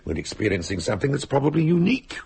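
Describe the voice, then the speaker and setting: An actor playing a sardonic, flippant husband who is resigned to being trapped, speaking in dry, wordy mockery in an audio thriller. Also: male voice, mid-range voice, very animated, racing, creaky, a little mellow, husky, heavily acted. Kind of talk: dramatic dialogue acting, audio thriller.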